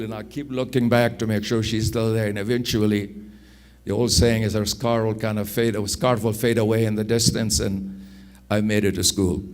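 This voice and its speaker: Older guy in his 60s, afghan accent, lowish voice, telling his life story, takes his time. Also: darkish tone. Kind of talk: personal storytelling.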